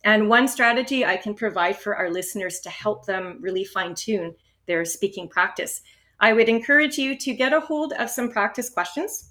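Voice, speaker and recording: Woman, test-prep coach, calm explanatory delivery, mid-range voice. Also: mid-range voice. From Canada. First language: English. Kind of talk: instructional podcast talk.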